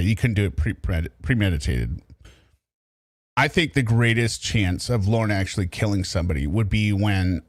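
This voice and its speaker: A man hosting casual true crime commentary, animated and riffing, laughing as he goes. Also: sits low, no echo, clean studio sound. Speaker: commentary host.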